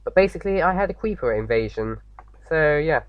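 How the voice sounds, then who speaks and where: Man with a slightly croaky sore throat. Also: a little high, lively intonation, a little fast, lo-fi sound. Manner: rambling, many fillers and restarts. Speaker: gaming video host. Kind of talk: casual let's-play commentary.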